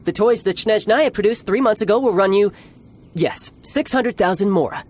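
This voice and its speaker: An actor playing a cocky, smooth-talking young man in a video game, theatrical and playfully condescending. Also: high voice, normal speed, a little mellow. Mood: confident, playful. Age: young adult. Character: cocky, smooth-talking young male fighter posing as salesman. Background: game music.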